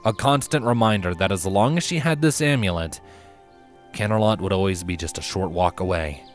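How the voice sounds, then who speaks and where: A man narrating a fantasy story from a script in an expressive, dramatic way. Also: sits low, moderate pace. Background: music.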